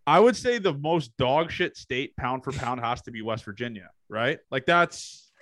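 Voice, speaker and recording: A man's voice: mid-range voice, lively intonation, moderate pace, fairly bright tone, dry recording. A young man from Canada with a slight Canadian accent, hosting a casual podcast and firing off loose, swearing questions. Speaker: podcast host.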